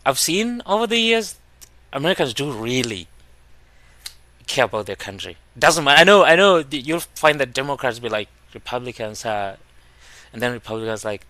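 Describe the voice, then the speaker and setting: A man from Kenya hosting a casual reaction video. He talks in an animated way and breaks into laughter when he makes a point. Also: mid-range voice, normal speed, dry recording.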